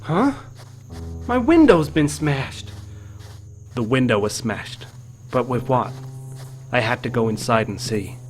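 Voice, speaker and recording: A man's voice: mid-range voice, sing-song, slow, pauses often, faint music behind. A man acting as an uneasy, haunted game protagonist, narrating his own mystery story in scripted first person. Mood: startled, shouting. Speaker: narrator and main character of a game.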